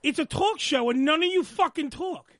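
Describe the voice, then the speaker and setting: A man hosting a radio talk show in a studio, loud, profane and bossy. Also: very high voice, moderate pace, no room sound. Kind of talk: loud crude studio banter.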